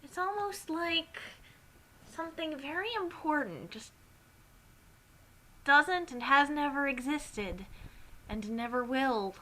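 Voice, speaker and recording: Female banter partner, slow-paced and sharp-toned, wry and teasing in casual commentary. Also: sits high, animated. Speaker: co-commentator on fan fiction.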